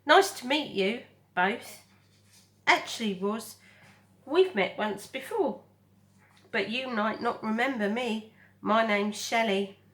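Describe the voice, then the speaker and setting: Storybook otter, played by a woman: big, sociable female, well-meaning and breezy, voice lifted high. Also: slow pace, long pauses, fairly bright tone. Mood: friendly. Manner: breezy, informal.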